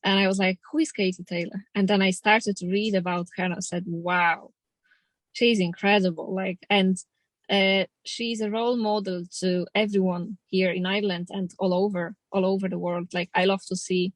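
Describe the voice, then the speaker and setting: A woman's voice: medium pitch, little pitch movement, normal speed, no room sound. Polish-accented woman boxer, very dry sound. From Poland. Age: 30s. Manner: plainspoken, determined, non-native grammar. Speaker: professional boxer. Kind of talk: casual interview.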